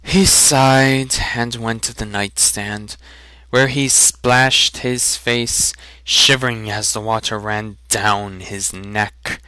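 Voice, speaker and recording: Male storyteller, bright and smooth, deliberate dramatic delivery, very dry sound. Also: fairly low pitch, steady tone, dry recording, poor audio. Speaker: reader of a fantasy novel. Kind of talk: dramatic audiobook reading with joking asides.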